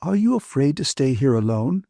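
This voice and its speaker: Man doing a gentle, raised-pitch affectionate young woman. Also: very animated, normal speed, vocal fry, no room sound, studio quality. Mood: gentle, reassuring. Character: calm, cheerful, affectionate young woman soothing a friend.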